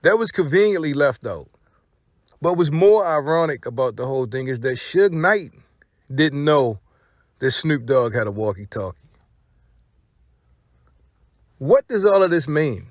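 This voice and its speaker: A man's voice: fairly high pitch, lively intonation, a little slow, long pauses, no room sound, studio quality. An American man hosting casual gossip commentary, speaking in profane slang and posing rhetorical questions. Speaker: commentary channel host.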